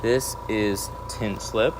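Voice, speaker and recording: Man, deep voice, relaxed livestream chat, answers questions as he works, noisy shop. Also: takes it slowly. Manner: relaxed, answers viewer questions while working. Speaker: window tint installer hosting a livestream. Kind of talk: casual livestream chat while working.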